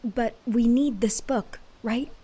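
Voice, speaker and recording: A woman's voice: fairly high pitch, takes it slowly, warm tone, breathy edge. A woman playing a practical, resolute female cleric in a fantasy audio drama. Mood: resigned.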